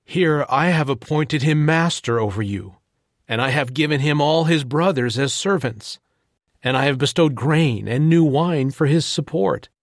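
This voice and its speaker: A man voicing an aged, trembling patriarch father in a dramatized scripture reading, with a slow, solemn, quavering delivery. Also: on the high side, no echo, studio quality, pitched above the performer's own voice. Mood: grave.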